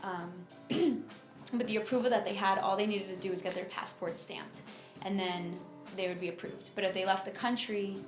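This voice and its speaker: A young adult woman from Miami telling her personal story in an interview, speaking informally and haltingly with lots of filler phrases. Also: mid-range voice, steady tone, reverberant, over music. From United States (Miami, Florida). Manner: informal, halting, frequent "like" and "you know".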